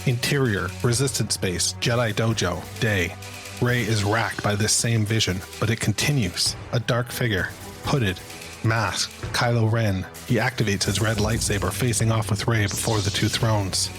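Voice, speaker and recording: A man's voice: lowish voice, moderate pace, music in the background. A man narrating screenplay stage directions in a dramatic scripted style.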